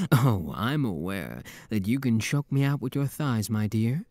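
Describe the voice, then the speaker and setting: A man's voice: medium pitch, very animated, slow, dark tone, no room sound, clean studio sound, exaggerated voice. A man acting a suave, teasing demon prince in a romantic roleplay audio drama, with a courtly, flirtatious drawl and playful mock menace. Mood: amused, flirtatious.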